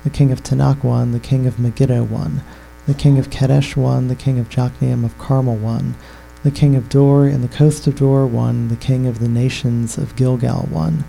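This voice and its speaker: A man reading scripture aloud in a steady, monotone listing voice. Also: mid-range voice, normal speed, creaky, few pauses, soft background music, rough recording.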